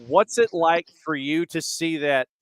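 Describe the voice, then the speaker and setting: Podcast host guy, slightly high voice, winding reflective questions. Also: unhurried, no echo. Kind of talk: casual interview.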